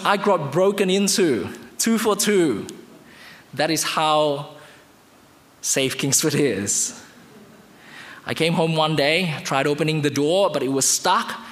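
A man from Indonesia, speaking English as his second language, preaching a sermon with emphatic highs and quiet lows. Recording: reverberant hall.

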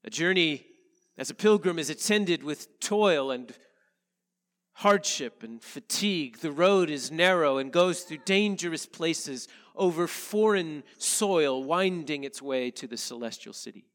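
A male pastor, a little nasal from a head cold, reading scripture and preaching in an earnest, measured way. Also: on the high side, expressive, a bit bright, long reverb tail. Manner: earnest, measured preaching with slow emphatic phrases. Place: church sanctuary.

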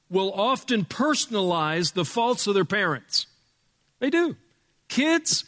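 A male pastor with a loud voice preaching a sermon in a church, emphatic, dropping from booming to hushed. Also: long reverb tail. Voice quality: loud speaking voice. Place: church sanctuary with sound board.

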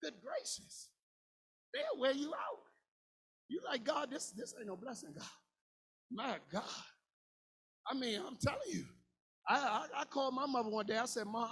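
A man in his forties preaching a sermon, impassioned and colloquial, swinging between shouted lines and a half-sung cadence. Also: sits high, slightly rough. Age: 40s. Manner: colloquial, informal grammar.

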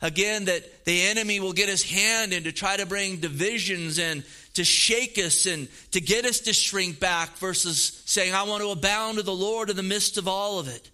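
A man in his fifties delivers a sermon with earnest, repetitive emphasis. His voice sits high for a man and rings very bright and smooth. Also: normal speed, few pauses. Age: 50s. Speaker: pastor.

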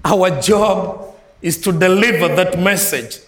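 Man, Malawian accent, sermon, emphatic delivery, higher voice, echoey church hall. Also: takes it slowly. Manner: emphatic, swings between soft low phrases and raised ringing lines. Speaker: guest preacher.

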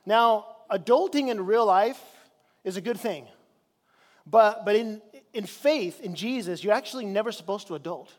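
A man's voice: high voice, normal speed, big-room sound. A man from Chile preaching a sermon in a conversational way, drawing out key words for emphasis. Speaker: pastor. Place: church sanctuary.

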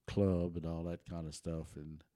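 A man's voice: sits low, level tone, a little slow, mellow, no echo, clean studio sound. An older man whose first language is German, telling stories as a podcast guest in a folksy, rambling way with many restarts and chuckles. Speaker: interview guest. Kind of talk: relaxed storytelling.

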